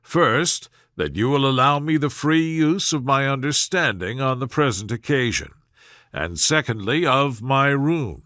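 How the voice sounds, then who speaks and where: A man voicing a dry, sardonic older father from a classic novel, speaking in calm, deliberate deadpan. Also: medium pitch, dry recording. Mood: wry. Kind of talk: storybook character voice in classic novel reading.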